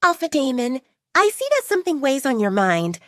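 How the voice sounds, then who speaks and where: Eager young werewolf woman, played by a female narrator: polite, fawning, pitched up, for romantic audio drama. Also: sing-song, moderate pace, a bit bright, no echo, clean studio sound. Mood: eager, deferential.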